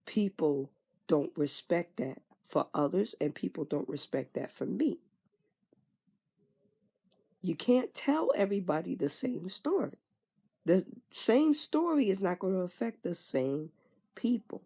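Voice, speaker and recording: A woman's voice: on the low side, big pitch swings, measured pace, gravelly, long pauses, dark tone, slightly rough. A woman in her twenties frankly venting in a candid personal monologue, swearing casually and trailing off with fillers. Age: 20s. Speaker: vlogger.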